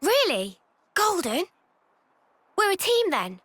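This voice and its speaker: A woman's voice: very high-pitched, huge pitch swings, slow pace, fairly bright tone, dry recording, heavily acted. A woman with a British accent playing a keen, earnest young female soldier in a video game cutscene. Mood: eager, insistent.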